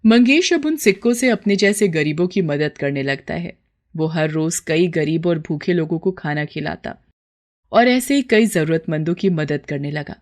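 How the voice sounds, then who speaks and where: Lower-pitched woman narrating a story. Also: lively intonation, moderate pace.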